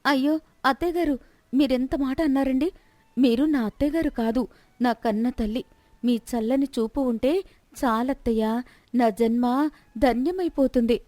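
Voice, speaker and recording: A woman's voice: high-pitched, normal speed, long pauses, mellow, no room sound, natural voice. A woman voicing a feisty, two-faced young village daughter-in-law in a theatrical story style. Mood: sweetly flattering.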